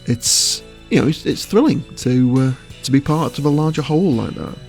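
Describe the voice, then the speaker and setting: A man's voice: on the high side, animated, normal speed, a little mellow, soft background music. A man giving casual interview answers as a voice actor, choosing his words with hesitation and often chuckling.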